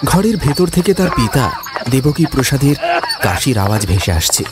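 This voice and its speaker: A man's voice: mid-range voice, very animated, moderate pace. A man reads a scripted horror story in a dramatic, suspenseful manner. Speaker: narrator. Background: music, insect sounds.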